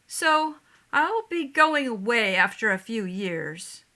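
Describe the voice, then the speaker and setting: Woman as a young boy, high pitch, childlike, cheerful, eager, trusting, stage play. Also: very animated, slow, pushed and bright, exaggerated voice. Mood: guileless, sincere.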